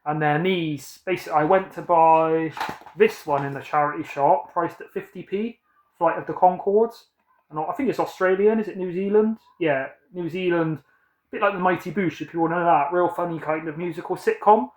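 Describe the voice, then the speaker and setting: A man's voice: a little high, moderate pace, roomy sound. A British man in his thirties chatting casually about his film collection, rambling and correcting himself as he goes. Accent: British English. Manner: rambling, self-correcting, upbeat. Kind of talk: casual chat showing a film haul.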